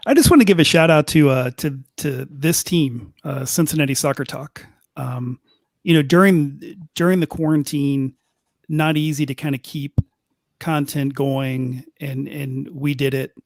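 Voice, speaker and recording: A man's voice: on the high side, unhurried, gravelly, no echo, studio quality. Middle-aged man, rambling sports reporter. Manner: rambling, many restarts and fillers. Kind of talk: casual chat.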